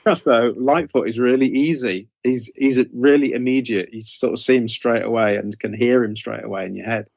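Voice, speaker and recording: Male interview guest, middle-aged. Informal, self-correcting delivery with a creaky edge, recorded dry. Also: medium pitch, fairly even intonation, normal speed, few pauses, dry recording. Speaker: guest author interviewed about writing career. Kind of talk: casual interview chat.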